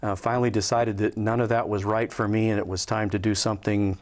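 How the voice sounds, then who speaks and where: An American man in his fifties from Southern California, recalling his life conversationally in an interview, hesitant and measured with frequent pauses. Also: on the low side, flat delivery, darkish tone. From Southern California, USA. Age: 50s. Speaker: interview guest, Air Force veteran. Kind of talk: conversational personal recollection.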